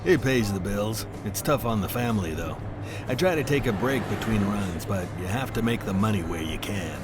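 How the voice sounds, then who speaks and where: An actor playing a plain-spoken, tough middle-aged truck driver in an audio drama. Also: male voice, on the low side, animated, normal speed, loud music behind the voice, lightly acted. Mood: easygoing. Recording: clear vehicle noise behind.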